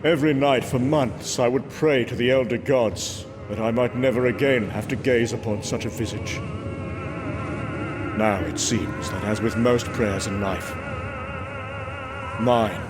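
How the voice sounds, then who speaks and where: A man voicing a brooding god who narrates in the first person, solemn and measured, in a scripted comic book drama. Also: mid-range voice, close to an everyday voice. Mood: haunted, grave. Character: brooding male god recalling a haunting horror. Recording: dramatic music behind the voice.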